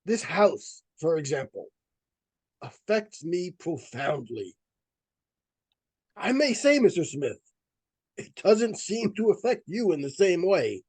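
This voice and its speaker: An actor playing an earnest psychic investigator in old-time radio suspense style, speaking gravely and formally with theatrical weight. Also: male voice, high voice, sing-song, slow pace, pauses often, a little mellow, no echo, heavily acted. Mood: solemn, foreboding. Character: earnest, portentous male psychic researcher and ghost hunter.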